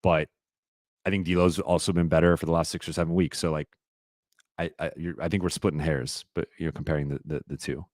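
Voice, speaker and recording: Deep-voiced man hosting a casual live Q&A. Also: flat delivery, a little fast, a little mellow, dry recording, clean studio sound. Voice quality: slightly raspy voice. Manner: rambling, many fillers and restarts. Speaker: sports reporter hosting a live Q&A stream.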